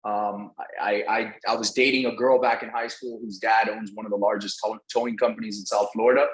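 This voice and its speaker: A native Spanish-speaking man with a slightly low voice talks informally as an interview guest. He uses slang and curses as he tells stories, in a roomy, echoing recording. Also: little pitch movement, brisk. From Venezuela. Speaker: podcast guest, real estate entrepreneur. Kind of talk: casual interview storytelling.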